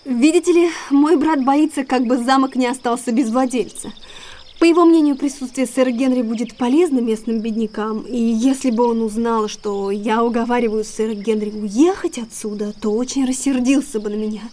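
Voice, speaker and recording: An actress playing an anxious, secretive young gentlewoman in an audio drama, guarded and earnest. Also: a little high, moderate pace, close to an everyday voice. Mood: guarded, urgent.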